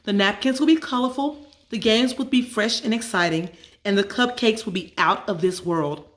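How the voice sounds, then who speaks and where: A woman reading a children's story aloud as a storyteller, with measured, expressive phrasing and dramatic emphasis. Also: medium pitch. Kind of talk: expressive scripted story narration.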